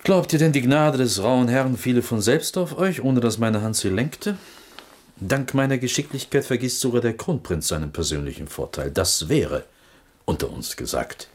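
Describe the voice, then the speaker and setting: An actor playing a sly, self-important Prussian court minister in a radio play, with formal, insinuating diction. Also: male voice, mid-range voice, sing-song, normal speed, breathy edge. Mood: smug, scheming. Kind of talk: German radio play acting.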